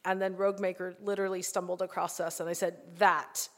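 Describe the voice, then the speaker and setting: A woman's voice: on the low side, flat delivery, fast talker, fairly bright tone. Woman recounting in a reverberant hall. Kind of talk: spoken anecdote at a live Q&A. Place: live festival hall. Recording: echoey hall, live recording.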